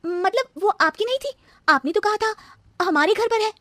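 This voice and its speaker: Woman as a very high-pitched, exasperated young bride. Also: lively intonation, normal speed, a bit bright, dry recording, clean studio sound. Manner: emphatic, incredulous questioning. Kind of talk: animated cartoon dialogue.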